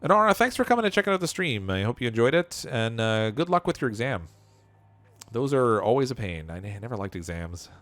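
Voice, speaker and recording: A man's voice: medium pitch, big pitch swings, fairly quick, a bit bright, no room sound, soft background music. A man streaming a game, rambling as he thinks aloud and chuckling often, his voice wearing out. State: losing his voice. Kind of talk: casual game livestream commentary.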